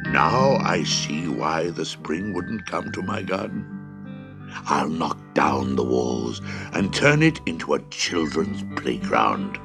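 A gentle, lonely giant played by a male narrator speaks in a very low, gravelly voice. He is slow and heavy with sighs, like an aging storybook character, with music underneath. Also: sing-song, heavily acted. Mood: remorseful. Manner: slow, heavy, sighing delivery. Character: gentle, remorseful giant, lonely, growing old and feeble. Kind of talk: dramatized children's storybook reading.

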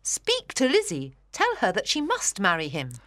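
A woman playing a fretful, excitable middle-aged mother in a literary audiobook, agitated and insistent. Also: mid-range voice, normal speed, dry recording, studio quality. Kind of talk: dramatised literary audiobook reading.

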